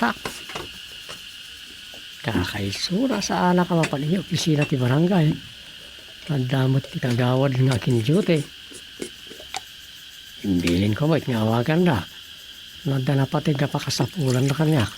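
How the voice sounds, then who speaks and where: A man's voice: medium pitch, animated, moderate pace, long pauses, background noise, natural voice. An actor playing a village councilman in a radio drama, muttering to himself as he struggles to write. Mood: grumbling. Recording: cricket and insect night ambience.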